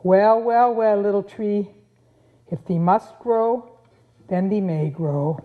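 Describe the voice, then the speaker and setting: A woman's voice: medium pitch, slow, close to an everyday voice. A woman playing a rueful, old-fashioned farmer in a puppet show, with quaint, sighing speech. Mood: wondering, resigned. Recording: muffled sound, guitar music behind.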